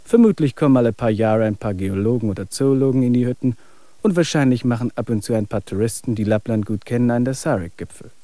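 An actor in a radio play as a wry, weary male traveler, speaking in an even, reflective way. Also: mid-range voice, fast talker, dry recording, close to an everyday voice. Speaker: main character.

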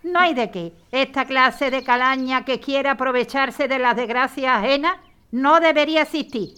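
A woman's voice: high voice, moderate pace, sharp tone, slight background noise. A woman playing a bold, protective working-class mother in a historical audio drama, blunt and colloquial. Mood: friendly, matter-of-fact.

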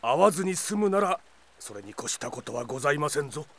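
An elderly knight advisor in an audio drama. The voice is gravelly, slightly high and very animated. He is deferential, stern and protective. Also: quick pace, exaggerated voice. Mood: admonishing. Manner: archaic, deferential speech. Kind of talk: anime-style audio drama acting.

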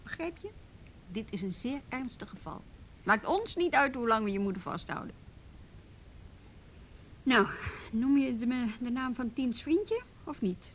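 An actress with a Netherlands Dutch accent playing a brisk, firm policewoman who handles youth cases in a radio drama. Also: on the high side, slight background noise, rough recording. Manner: assertive, businesslike.

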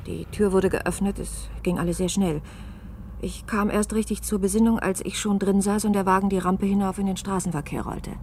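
Actress playing a sharp-tongued woman journalist, mid-pitched, ironic, strained, German radio play, faintly hissy old recording. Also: steady tone, close to an everyday voice. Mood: reflective, recounting. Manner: ironic, challenging, quick-witted. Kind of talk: German science-fiction radio drama, dialogue and narrated recollection.

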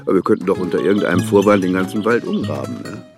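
An older actor playing an ageing, world-weary police officer in a German radio crime drama, musing colloquially and grumbling wistfully. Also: male voice, low voice, expressive, very fast, gravelly, over music.

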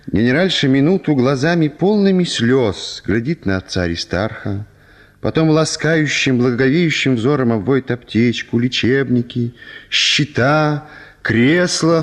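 An actor narrating a literary radio play as an expressive, wry storyteller. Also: male voice, on the high side.

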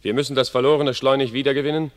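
A man's voice: mid-range voice, steady tone, racing, lightly acted. A man acting a bold, haughty military commander in a classical verse radio drama, declamatory and cocky. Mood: boastful. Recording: long hall reverb.

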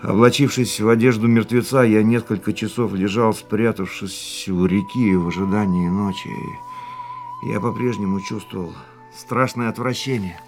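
Actor playing a weary, low-voiced brooding war veteran. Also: male voice, fairly even intonation, a little mellow, close to an everyday voice. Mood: haunted, reflective. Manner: measured, brooding, dramatic pauses. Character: weary war-veteran man of about forty, reflective and haunted. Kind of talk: radio drama acting, dialogue and monologue. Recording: studio radio play with music behind.

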